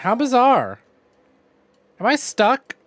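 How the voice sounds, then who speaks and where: A man's voice: very high voice, huge pitch swings, slow, a bit bright, faint music behind. A man giving casual live-stream commentary on a game, sweary and thinking aloud.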